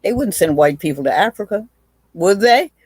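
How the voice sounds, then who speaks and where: A woman's voice: mid-range voice, lively intonation, normal speed, darkish tone, breathy edge, no room sound, studio quality. A woman, a witty, blunt guest telling stories in a casual video chat, often restarting her sentences. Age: 80s. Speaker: guest, aunt.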